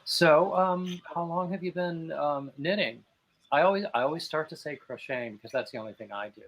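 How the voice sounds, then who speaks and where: A man's voice: on the high side, brisk, fairly bright tone, a little hiss or hum. A man hosting an interview over a video call, chatty and playful, with plenty of fillers. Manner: chatty, jokey, many fillers. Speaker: interview show host. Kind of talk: casual chat interview.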